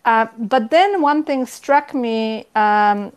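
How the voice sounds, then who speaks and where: A middle-aged woman from Poland with a Polish accent, a professor giving a conversational academic interview in a thoughtful, halting, self-correcting way. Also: a little high, clean tone. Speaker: history professor, interview guest.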